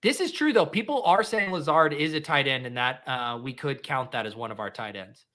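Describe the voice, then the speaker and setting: A man in his thirties with a nasal voice, hosting a casual chat and trading goofy deadpan jokes. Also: medium pitch, a little fast. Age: 30s. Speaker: host.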